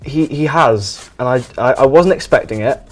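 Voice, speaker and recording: Soft-spoken rambling man. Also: normal speed. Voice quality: soft, low and relaxing. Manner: evasive, rambling, defensive. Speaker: painting show host. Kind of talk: mock documentary painting show, deadpan.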